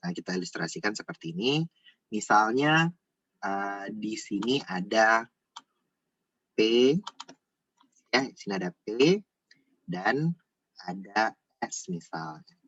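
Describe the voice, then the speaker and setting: Male tutor, husky voice, informal and repetitive explainer, close dry sound. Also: fairly low pitch, lively intonation, slow, long pauses, fairly bright tone, no room sound, dull audio. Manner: repeats himself, frequent checking tags. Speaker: accounting tutor.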